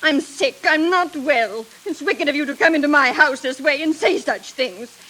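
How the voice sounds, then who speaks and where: A woman's voice: sits high, normal speed, bright, forceful tone. An actress playing a frail, fearful, selfish invalid mother in a 1940s radio thriller, plaintive and indignant.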